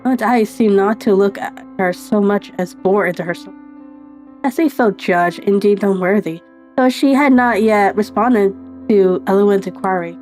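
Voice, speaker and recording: A woman's voice: mid-range voice, moderate pace, a little mellow, soft background music. A woman narrating a visual novel playthrough, reading story prose aloud and dropping in casual asides.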